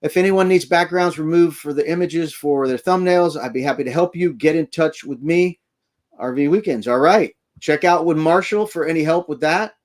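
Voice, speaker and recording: A man's voice: on the high side, moderate pace. A man from Florida hosting a casual, rambling live stream from inside his RV camper, chatting with viewers and thanking them. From Florida, United States. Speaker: livestream host. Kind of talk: casual rambling livestream chat.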